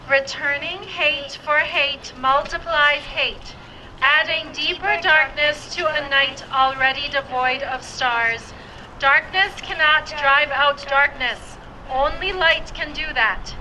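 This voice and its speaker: A woman reading a quotation aloud slowly and carefully as a community reader. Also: sits high, bright, forceful tone, a little hiss or hum, low-quality recording.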